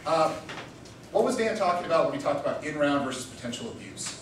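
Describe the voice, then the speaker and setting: A man's voice: on the high side, expressive, fast talker, recorded from a distance. A young man giving a joking, informal lecture on debate theory and quizzing his students. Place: classroom. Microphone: far room mic. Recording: echoey room recorded from afar with noise.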